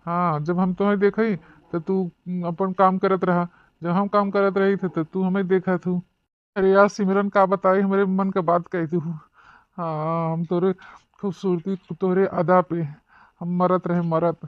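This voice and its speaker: A man's voice: high voice, flat delivery, measured pace, clear, resonant tone, dry recording. A man voicing a meek, simple married office man in a cartoon comedy, hesitant and stammering, with an Awadhi accent. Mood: smitten, confessing. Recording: muffled, dull, lo-fi sound.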